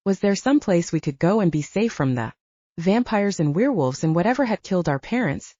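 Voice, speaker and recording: A woman narrates a first-person novel with lively, swinging intonation. Her voice is soft-edged and mellow, a little low for a woman. The recording is very dry and somewhat dull, as in a lo-fi audiobook. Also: brisk, dry recording. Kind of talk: scripted first-person fiction narration with acted dialogue.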